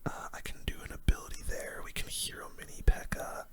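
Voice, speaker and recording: A young man whispering relaxed, informal ASMR commentary over a game, close to the microphone. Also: a little fast. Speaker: gaming video creator.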